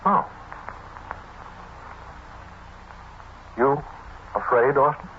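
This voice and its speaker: An actor playing a brave man trapped in the dark, quietly reassuring in hesitant, broken phrases, in 1940s radio horror style. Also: mid-range voice, a little slow, lightly acted. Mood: uneasy. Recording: tinny, hissy old-time radio recording.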